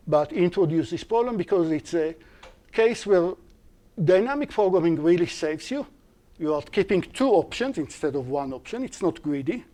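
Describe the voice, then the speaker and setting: A male university lecturer, a Hebrew speaker working through an algorithm in English. His voice sits a little high yet sounds mellow and soft-edged. He explains step by step, pausing to restart and correct himself. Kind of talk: live-streamed algorithms lecture.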